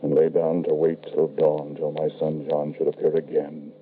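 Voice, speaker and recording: An actor playing an anguished, grieving father who narrates his tale solemnly and haltingly in an old-time radio horror drama. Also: very deep voice, monotone, slight background noise. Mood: grim narration. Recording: muffled, band-limited old radio recording.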